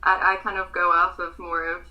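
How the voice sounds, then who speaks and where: A woman's voice: lowish voice, flat delivery, fairly quick, highs cut off. A young American woman in her twenties with an American accent, a pageant contestant answering interview questions earnestly, with frequent ums.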